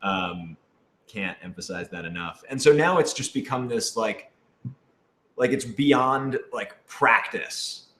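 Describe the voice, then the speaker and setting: A man talking casually as a cast member in a Q&A, gushing and excitable, with halting phrasing. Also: mid-range voice. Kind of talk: casual chat. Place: indoor office used for rehearsals. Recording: echoey room.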